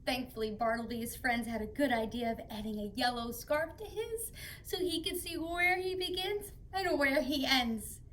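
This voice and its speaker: Lady doing kids storytime, pretty high voice, bit of ambient noise in the back. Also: moderate pace, a bit bright. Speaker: children's read-along host. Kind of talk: scripted storytime hosting for children.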